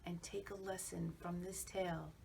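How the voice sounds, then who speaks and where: A woman's voice: lowish voice, level tone, moderate pace, creaky, darkish tone. A woman reading a cautionary storybook verse aloud. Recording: faint, distant pickup with background noise.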